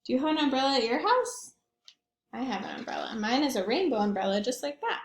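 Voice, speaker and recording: High-pitched woman reading to young children. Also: fast talker, echoey room. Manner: expressive, asks the listeners questions, exclaims. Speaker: children's read-aloud host, teacher or librarian. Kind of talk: interactive picture-book read-aloud for young children.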